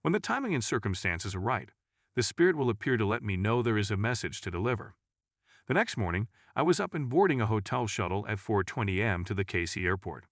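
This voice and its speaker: Male narrator for scripted paranormal stories. Lowish register, animated melody, dry recording. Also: fast talker, no echo. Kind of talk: scripted first-person story narration.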